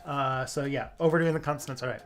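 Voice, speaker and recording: A man's voice: mid-range voice, steady tone, fast talker, fairly bright tone, faint music behind. A man hosting a livestream in casual, joking chat full of fillers. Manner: chatty, filler-heavy, joking. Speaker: livestream host.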